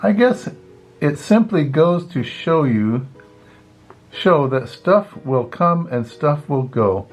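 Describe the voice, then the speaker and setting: A man's voice: a little high, animated, slow, faint music behind. An older man, a grandfather talking to children in a folksy, rambling, casual storytelling way. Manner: folksy, rambling, often saying "anyway". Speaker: grandfather reading picture books to children.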